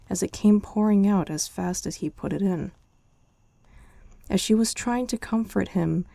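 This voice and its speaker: A woman reading a bedtime story as an ASMR girlfriend, soft and chatty with the listener, close to the mic. Her voice is low, dark and gravelly with a dry sound. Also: dry recording. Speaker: girlfriend in a roleplay.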